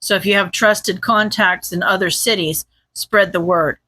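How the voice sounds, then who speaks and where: A woman's voice: medium pitch, steady tone, moderate pace, sharp tone, no echo. A woman voicing a tired, serious male hero on an urgent phone call in an audiobook-style story, speaking tersely. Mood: urgent, guarded.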